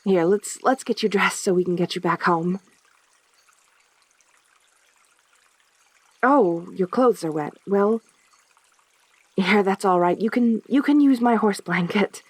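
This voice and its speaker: Woman performing a lonely centaur girl, soft-spoken ASMR roleplay, mid-pitched, unhurried, hesitant, awkward, possessive. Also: breathy edge, dry recording. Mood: shy, nervous. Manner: halting, stammering, hesitant delivery.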